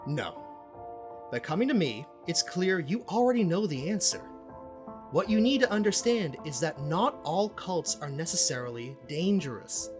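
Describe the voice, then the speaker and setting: An actor playing a self-important cult expert and investigator in an audio drama, speaking in a pompous, dramatic way with deliberate pauses. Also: mid-range voice, very animated, lightly acted. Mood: lecturing, knowing. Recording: music playing under the voice.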